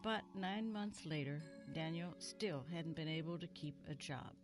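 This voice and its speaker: Low-voiced, wistful elderly librarian woman. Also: unhurried. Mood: wistful, sad. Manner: gentle, sorrowful reminiscing. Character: kindly, wistful elderly female retired small-town librarian. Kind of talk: audio drama storytelling. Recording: music under the voice. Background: music.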